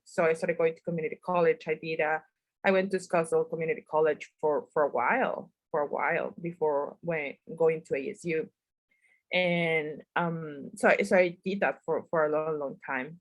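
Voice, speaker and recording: A woman's voice: fairly low pitch, no echo. A woman from northern Mexico with a Mexican accent, a writer answering interview questions in a chatty, self-deprecating way and laughing often. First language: Spanish. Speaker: guest writer.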